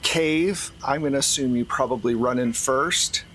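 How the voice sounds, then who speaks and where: A man's voice: mid-range voice, normal speed, a bit bright. A man running a tabletop roleplaying game as game master, narrating scenes descriptively and conversationally. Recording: faint background ambient noise.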